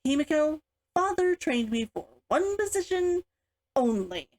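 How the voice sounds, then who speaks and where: A woman's voice: sits high, very animated, a little slow, a little mellow, pitched above the performer's own voice, full character voice. A woman reading the part of a proud, bitter and defiant younger sister in a storybook. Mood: insistent. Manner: defiant, challenging.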